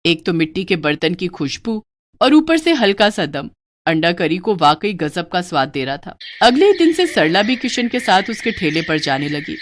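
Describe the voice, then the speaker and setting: A woman's voice: on the low side, noisy background. A woman reading scripted narration for a moral story as its storyteller.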